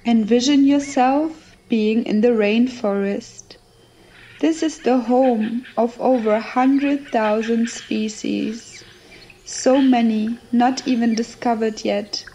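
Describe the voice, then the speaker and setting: Very slow-paced woman guiding a meditation. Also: a little high, steady tone, warm tone, slight background noise, low-quality recording. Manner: measured, short phrases with long pauses. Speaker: guided meditation narrator. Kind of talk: slow scripted guided meditation with affirmations. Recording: nature ambience behind the voice. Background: nature and ambient sounds.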